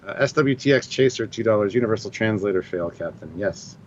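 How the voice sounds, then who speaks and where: A man with a lowish voice co-hosts a live chat from home and answers with deadpan, sardonic remarks. His video-call audio sounds dull and muffled, with a faint hiss behind it. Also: a little fast. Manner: dry, sardonic. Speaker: co-host. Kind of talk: casual live discussion. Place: home room.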